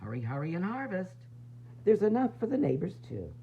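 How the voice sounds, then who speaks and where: A man reading a children's picture book aloud in an expressive, dramatic, sing-song way, with varied emphasis. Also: sits high, a little fast, vocal fry. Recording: dull lo-fi sound, faint noise and hum.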